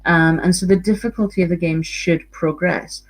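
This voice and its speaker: A woman's voice: fairly low pitch, fairly even intonation, normal speed. A Scottish woman, a university researcher, giving an earnest online conference talk with frequent ums and repeated words. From Scotland.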